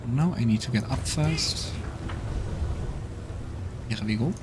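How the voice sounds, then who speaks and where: A man's voice: medium pitch, slow, noisy background. A man streaming a game, thinking aloud and muttering commands with plenty of hesitation. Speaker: let's play streamer. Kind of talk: casual gameplay commentary. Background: faint game music.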